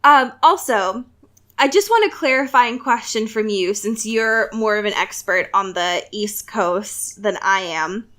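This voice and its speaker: A woman co-hosting a podcast, chatting casually and comedically about books in an animated, sarcastic way. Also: mid-range voice, a little slow. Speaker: podcast co-host. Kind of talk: casual comedic book discussion chat.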